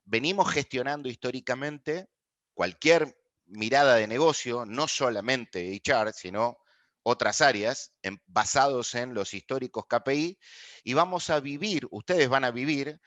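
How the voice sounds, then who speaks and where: A man from Argentina with an Argentine accent, giving a courteous, measured online masterclass as a guest speaker and often pausing on filler sounds over a video call. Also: mid-range voice, a bit bright, no room sound.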